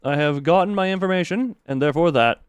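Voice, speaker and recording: A man's voice: fairly high pitch, quick pace, clean tone, dry recording. A man chatting casually on a gaming livestream and reading game notes aloud, rambling, easily sidetracked and stumbling over words. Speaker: live streamer.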